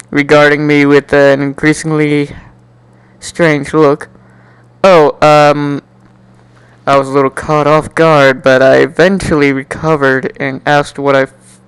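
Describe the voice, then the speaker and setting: A man doing an amateur dramatic reading of a first-person story in an animated, jokey way, now and then stumbling and repeating words. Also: a little high, smooth, no room sound, rough recording. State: coughing, slightly sick. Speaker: amateur fan fiction reader.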